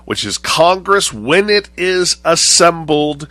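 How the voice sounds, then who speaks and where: A man with a cold-thickened voice, hosting a show and lecturing deliberately to his viewers, often rephrasing as he goes. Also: on the high side, animated, pushed and bright, no room sound. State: voice lowered and congested, recovering from chest cold. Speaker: show host. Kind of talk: monologue to viewers, political and religious commentary.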